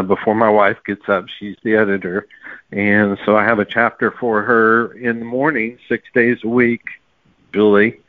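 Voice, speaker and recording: A man's voice: on the low side, no room sound. Male interview guest, conversational storyteller, explanatory manner, dry narrowband audio. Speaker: author guest. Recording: narrow phone-like sound, dry.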